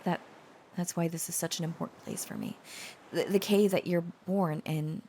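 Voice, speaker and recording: A woman playing a shy, tender young dragon-blooded girlfriend in an intimate audio roleplay, speaking hesitantly with stammers and soft pauses. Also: on the low side, animated, creaky, a little hiss or hum. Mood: earnest, confiding.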